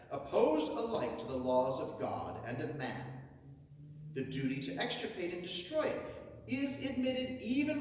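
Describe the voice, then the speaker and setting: A man delivers a theatrical nineteenth-century oration in an emphatic, declamatory manner, pausing for effect. His delivery swings widely in pitch. He is heard at a distance from the mic in a hugely reverberant hall. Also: a little hiss or hum. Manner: declamatory, emphatic, rhetorical, with dramatic pauses. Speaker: orator reciting a historic speech.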